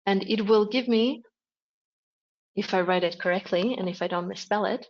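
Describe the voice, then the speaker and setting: A woman's voice: medium pitch, normal speed, darkish tone. Woman on lo-fi webinar audio. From Sweden. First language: Swedish. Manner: explanatory, with frequent fillers and self-corrections. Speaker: project presenter. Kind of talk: webinar screen-share walkthrough.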